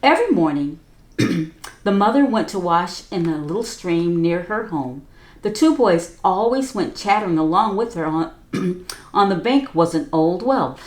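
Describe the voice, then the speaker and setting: A woman's voice: fairly low pitch, animated, unhurried, a little mellow, echoey room. A woman reading a children's picture book aloud as a teacher, with chatty asides to young listeners. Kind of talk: storybook read-aloud.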